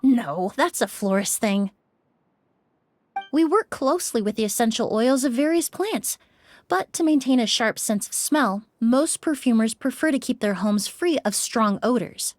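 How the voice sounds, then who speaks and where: A woman's voice: fairly high pitch, animated, a little slow, a little mellow. A woman voicing a poised, polite young perfumer in a video game, speaking in a composed, articulate and courteous way.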